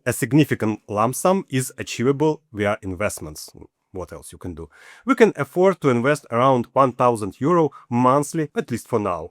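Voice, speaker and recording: A man in his forties speaks English with a Ukrainian accent in a mid-pitched voice. He lays out costs and sums one step at a time in a matter-of-fact way. The recording is dry, with no room echo. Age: 40s. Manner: matter-of-fact, walks through figures step by step. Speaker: channel host. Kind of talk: personal finance vlog.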